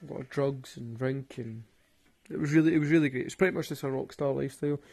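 A man's voice: medium pitch, fast, creaky, warm tone, faint music behind. A man with a Glaswegian Scottish accent, rambling and swearing through casual stories and laughing at his own jokes. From Glasgow, Scotland. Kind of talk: casual storytelling monologue.

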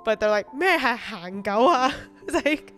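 A woman whose first language is Chinese, co-hosting a casual podcast chat; chatty and quick to laugh. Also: fairly high pitch, lively intonation, music in the background. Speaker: podcast co-host.

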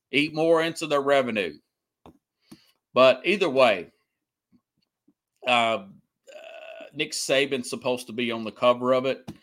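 A man's voice: fairly high pitch, fairly bright tone. A man hosting a sports podcast solo, talking casually and hesitantly as he works through notes and comments on the news in a rambling way. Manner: rambling, hesitant, searching through notes, repeats himself for emphasis.

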